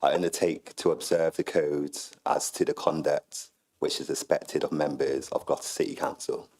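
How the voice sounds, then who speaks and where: A man recently elected mayor, slowly reading the oath of office and then giving a speech in a croaky voice after a flu. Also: a bass voice, steady tone, darkish tone. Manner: slow, measured declaration. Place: council chamber.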